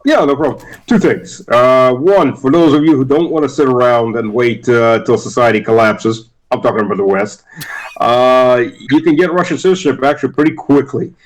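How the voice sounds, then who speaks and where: Halting middle-aged male guest. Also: mid-range voice, poor audio. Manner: halting, with many restarts and "uh"s. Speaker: guest, former army officer. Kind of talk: casual interview chat.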